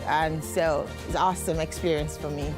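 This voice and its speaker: A woman's voice: low voice, fairly even intonation, moderate pace, low-quality recording. A woman from Nigeria, an international student, speaking in an enthusiastic, upbeat way in a scripted promo testimonial. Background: background music.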